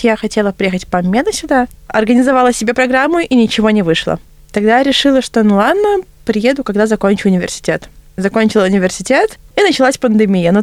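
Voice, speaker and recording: A woman's voice: a little high, darkish tone, dry recording. A woman in her twenties from Latvia, telling her story as an interview guest in a chatty, self-ironic, conversational way. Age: 20s.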